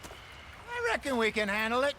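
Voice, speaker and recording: An actor playing a wry, worldly-wise older outlaw of the Old West in a game cutscene, with a folksy American way of speaking. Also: male voice, very high voice, big pitch swings, normal speed, background noise. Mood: gruff banter. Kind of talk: dramatic game cutscene acting.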